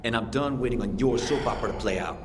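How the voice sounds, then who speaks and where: A man's voice: medium pitch, fast talker, background noise, natural voice. An actor voicing a wry, world-weary, terse man surviving a zombie apocalypse in a video game. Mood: defiant, dry. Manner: dry, terse. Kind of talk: naturalistic video game acting.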